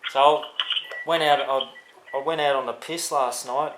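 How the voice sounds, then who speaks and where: A man's voice: medium pitch, steady tone, measured pace. An Australian man chatting casually on a phone call, matey and rambling in his manner. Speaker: prank caller. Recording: roomy, faintly noisy, echoing phone-call recording.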